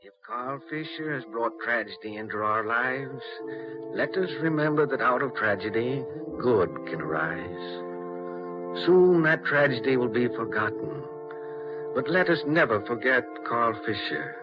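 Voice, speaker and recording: A man's voice: mid-range voice, animated, a little slow, close to an everyday voice. Guy playing a solemn preacher doing a funeral eulogy, old 40s radio drama, pious oratory, music behind, muffled sound. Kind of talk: 1940s American radio drama sermon. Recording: dull 1940s radio recording.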